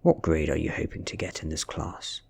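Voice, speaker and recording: A British man playing an irritable hard-drinking male teacher of thirty, stern and defensive yet soft-spoken, in an audiobook reading. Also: extremely low pitch, fairly even intonation, fairly quick, vocal fry, husky, pitched below the performer's own voice, exaggerated voice. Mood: annoyed.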